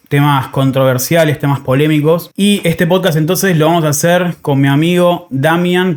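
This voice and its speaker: An Argentine man in his thirties hosts a conversational podcast. He talks reflectively, circling back and rephrasing his points, with an ordinary mid-pitched male voice. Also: darkish tone. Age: 30s. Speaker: podcast host. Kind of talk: casual conversational podcast talk.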